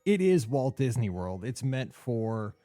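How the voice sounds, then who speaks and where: A male podcast host talks in an easygoing, rambling way, trailing off into fillers and restarting his sentences. His voice is warm and mellow, recorded close and dry with no room sound. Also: medium pitch, very animated, a little slow, no room sound. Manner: loose, filler-heavy, hedging with "kinda". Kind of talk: casual chat.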